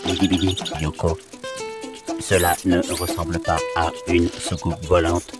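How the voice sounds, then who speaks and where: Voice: low-pitched, level tone, measured pace. An actor voicing a friendly, anxious alien robot in a children's cartoon, with a babbling nonsense verbal tic. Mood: doubtful. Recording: music behind the voice.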